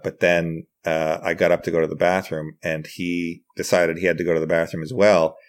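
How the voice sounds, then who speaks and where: A man with a low-pitched voice talks casually as a guest on a podcast. He thinks aloud as he goes, halting and picking up again in a conversational, anecdotal style. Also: little pitch movement. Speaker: podcast guest, writer and comedian.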